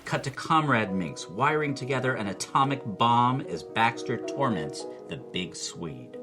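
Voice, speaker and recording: A husky-voiced man giving enthusiastic film commentary as a lecturer and host. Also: medium pitch, big pitch swings, measured pace, music in the background.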